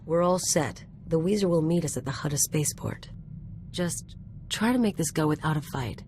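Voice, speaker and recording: Actress as a low-voiced streetwise female mercenary. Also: normal speed, darkish tone, a little hiss or hum, natural voice. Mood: firm. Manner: casual, tough, offhand. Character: streetwise, guarded female ex-anarchist mercenary, cynical and tough. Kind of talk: video game dialogue acting.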